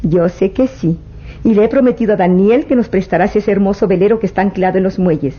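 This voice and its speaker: A woman's voice: mid-range voice, fast talker, breathy edge, highs cut off, close to an everyday voice. An actress playing a spoiled young rich woman coaxing her father. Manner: coaxing, persuasive.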